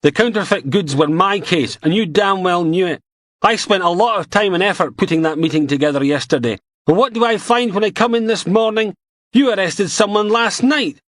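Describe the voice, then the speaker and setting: A man's voice: sits high, fairly even intonation, unhurried, few pauses, no room sound, studio quality, voice pushed up. A man playing a blustering, pompous inspector. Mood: ranting. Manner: shouting, indignant, whiny.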